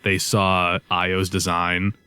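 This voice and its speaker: Low-voiced man chatting over a game. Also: monotone, slow pace, pushed and bright, faint music behind. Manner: rambling, foul-mouthed, nerdy tangents. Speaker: co-host of a video game let's play. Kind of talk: casual joking chat over gameplay.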